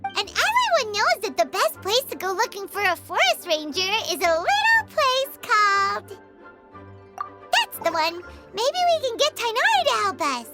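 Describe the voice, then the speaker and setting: A woman's voice: extremely high pitch, a little slow, exaggerated voice. A woman voicing a small, chatty, floating fairy companion in a video game. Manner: chirpy, chatty, expressive. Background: loud game music.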